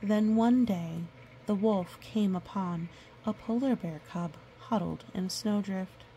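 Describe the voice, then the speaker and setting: Slow, creaky-voiced woman reading a storybook. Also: medium pitch, a little hiss or hum, poor audio. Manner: gentle, nurturing. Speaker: storyteller reading a picture book aloud. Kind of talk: storybook read-aloud with chatty asides to children.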